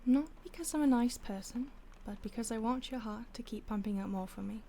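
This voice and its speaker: A woman voicing a mocking, predatory female vampire stalker who talks down to her victim, soft-spoken and slipping into whispers. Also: on the high side, a little fast, a little hiss or hum, natural voice. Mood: coolly threatening. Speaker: audio roleplay voice actor. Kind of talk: audio roleplay acting.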